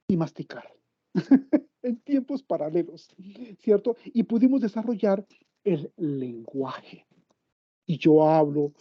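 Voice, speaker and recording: A man giving an academic lecture over a video call, halting and full of fillers and restarts, often asking the listener for agreement. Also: sits high, no echo, dull audio. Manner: addresses listener directly with tag questions.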